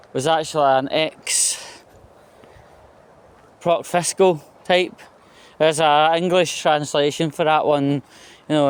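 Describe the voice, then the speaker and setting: A man's voice: fairly high pitch, clean tone. A Scottish man giving a rambling, conversational political monologue as a vlogger, blunt, sweary and opinionated, often checking in with tag questions.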